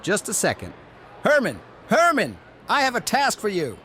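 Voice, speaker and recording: A man voicing a plump old gnome elder with a creaky voice and quaint, deliberate, formal phrasing, in a fantasy audio story. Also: high voice, huge pitch swings, bright, forceful tone, a little hiss or hum, exaggerated voice. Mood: brisk, commanding.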